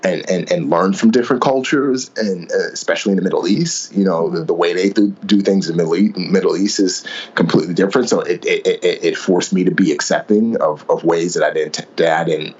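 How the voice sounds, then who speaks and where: A man's voice: deep voice, a little fast, a little mellow, slightly rough, highs cut off. A man who grew up in the Bronx, answering interview questions in a thoughtful way, often repeating words as he thinks. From New York City (Bronx). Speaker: podcast guest, former Navy SEAL and author.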